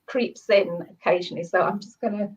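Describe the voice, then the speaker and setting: A woman with a cold and a croaky voice, chatting as a guest author and then reading her own short story aloud. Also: mid-range voice, brisk. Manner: warm, chatty, with laughs and restarts. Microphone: video call. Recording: dull lo-fi video call audio.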